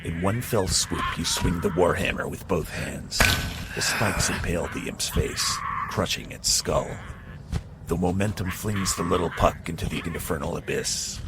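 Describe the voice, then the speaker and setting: A man's voice: sits low, normal speed, close to an everyday voice. A man playing a solemn narrator, delivering dramatic scripted fantasy storytelling. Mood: tense. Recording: loud effects noise behind.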